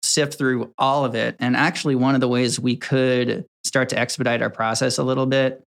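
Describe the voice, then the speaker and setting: A young man from Connecticut, a video editor chatting casually as the guest of a workplace interview, relaxed and rambling with many ums and restarts. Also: mid-range voice, moderate pace, vocal fry. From Connecticut, USA.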